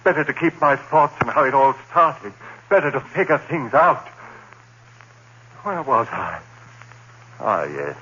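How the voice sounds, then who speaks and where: A man playing a terrified condemned prisoner recounting his nightmare in halting, anguished phrases, in the style of 1940s radio horror. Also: mid-range voice, expressive, pushed and bright, slightly rough, muffled recording, full character voice. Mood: brooding fear. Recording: noisy, band-limited old radio recording.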